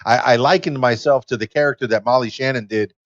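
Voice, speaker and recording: A man in his fifties, a podcast host and DJ, chatting in a lively, self-deprecating way from a home studio. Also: mid-range voice, fast talker, no room sound, lo-fi sound. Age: 50s. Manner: lively, self-deprecating, talks about himself with gusto. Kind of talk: casual interview chat. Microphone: desk mic on a boom arm.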